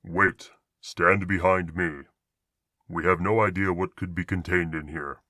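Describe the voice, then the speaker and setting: An actor playing a protective, practical space adventurer in a tabletop roleplay, terse and steady. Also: male voice, sits low, a little slow, dry recording, clean studio sound, full character voice. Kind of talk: ASMR audio roleplay acting.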